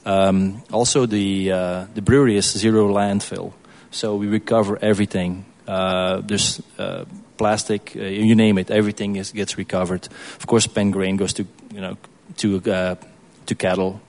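A man from Belgium, speaking as a guest brewer in an informal question-and-answer session, in a casual, halting way. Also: fairly low pitch, flat delivery, darkish tone.

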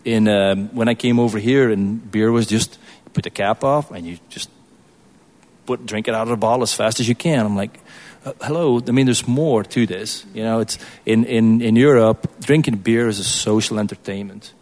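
A man from Belgium, speaking as a guest brewer in an informal question-and-answer session, in a casual, halting way. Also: fairly low pitch, fairly even intonation, darkish tone.

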